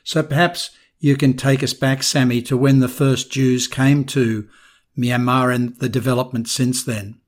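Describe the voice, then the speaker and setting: A man hosting an interview, giving introductions and questions in a measured, conversational way. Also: medium pitch, fairly even intonation.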